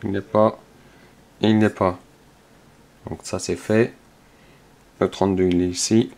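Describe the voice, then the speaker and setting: A man hosts a scratch-card video, calmly checking numbers one by one and muttering softly as he scratches. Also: low-pitched, unhurried, creaky. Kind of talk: casual play-by-play while scratching tickets.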